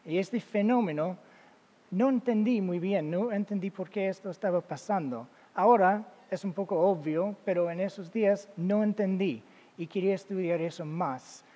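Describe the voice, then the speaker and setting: A man with as his giving an academic talk as a guest lecturer, halting, with many fillers and self-corrections. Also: high-pitched, warm tone. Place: lecture hall. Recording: long reverb of a large hall.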